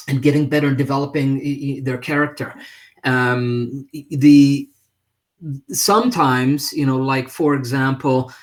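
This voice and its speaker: A man hosting an unscripted livestream talk, speaking haltingly with repeated words and frequent check-in questions. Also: mid-range voice. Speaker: livestream host and presenter.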